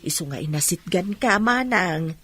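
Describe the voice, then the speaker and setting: An actress with an Ilocano accent playing a concerned, comforting younger neighbour in a radio drama. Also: female voice, lowish voice, expressive, moderate pace, bright, forceful tone, slightly rough, exaggerated voice.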